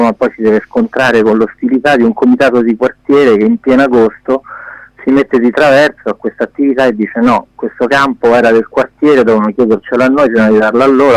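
A man with a medium-pitched voice, speaking as an interviewed director. He explains in a flowing, storytelling way. The sound is dry and close, with no room echo. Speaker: guest, film director.

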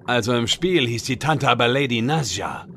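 A man acts an earnest know-it-all party leader in a comedic fantasy radio play. The mid-pitched voice is sharp and pedantic, with gravelly edges and faint noise behind. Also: expressive, normal speed. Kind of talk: comedic fantasy audio drama acting.

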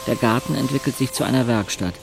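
Creaky-voiced wry young male narrator played by an actor. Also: medium pitch, quick pace, music in the background, natural voice. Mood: dry, sardonic. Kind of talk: audio drama first-person narration.

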